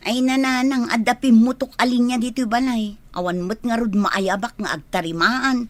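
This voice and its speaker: A grumbling older sister from a village radio comedy , played by a woman. Her voice is fairly high and very dry, and she exclaims as she speaks. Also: steady tone, moderate pace, no echo, natural voice. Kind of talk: comedy radio drama acting.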